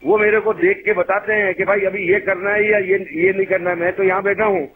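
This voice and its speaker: A man's voice: high-pitched, level tone, brisk. A tinny, noisy phone recording of a man. He talks in a blunt, colloquial way, sure of himself and casual. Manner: blunt, self-assured, colloquial. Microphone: telephone.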